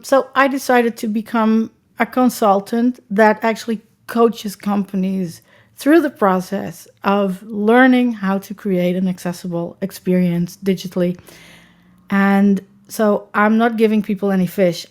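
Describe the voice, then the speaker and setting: Dutch-accented woman guest, chatty and rambling, average pitch. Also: darkish tone. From Netherlands. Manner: chatty, rambling, frequent ums. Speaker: guest, accessibility consultant. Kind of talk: conversational podcast interview.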